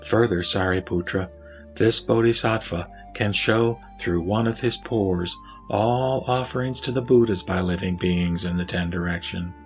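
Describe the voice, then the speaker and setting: A man voicing a wise male lay sage and patient teacher, speaking in a slow, measured, solemn way. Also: deep voice, soft background music. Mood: expounding. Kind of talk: scripted audio drama dialogue.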